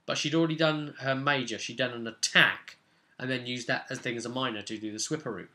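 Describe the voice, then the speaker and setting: A man working as a game master, giving a casual, unscripted recap of a tabletop role-play session in a chatty, animated storytelling manner. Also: medium pitch, a little fast.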